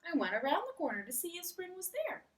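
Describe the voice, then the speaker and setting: A woman voicing a kindly, earnest male frog telling his tale in an animated storybook read-aloud. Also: very high voice, quick pace, far from the mic, pitched above the performer's own voice, heavily acted. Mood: earnest, eager.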